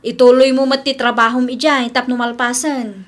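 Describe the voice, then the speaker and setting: An actress with a Filipino accent playing a hardworking wife and trusting cousin in an Ilocano radio drama. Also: female voice, a little high, flat delivery, normal speed, close to an everyday voice. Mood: brisk, practical.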